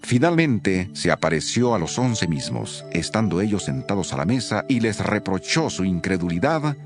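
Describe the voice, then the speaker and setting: Solemn deep-voiced male narrator. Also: big pitch swings, normal speed, faint music behind. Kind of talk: dramatized scripture narration.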